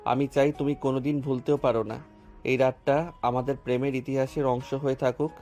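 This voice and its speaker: A man's voice: medium pitch, brisk, soft background music, lightly acted. A man playing Shayan, a devoted earnest lover. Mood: tender. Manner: earnest, tender, even-toned. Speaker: voice actor. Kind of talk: romantic audio drama.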